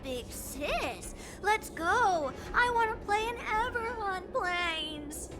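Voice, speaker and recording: A high, girlish voice for a brash young fantasy warrior. She is teasing, boastful and blunt, with music behind her. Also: animated, slow pace, exaggerated voice.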